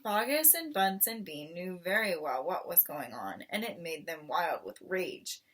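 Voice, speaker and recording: A woman reading a children's story aloud in expressive narration, recorded at some distance from the microphone. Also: on the low side, measured pace. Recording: voice distant from the mic.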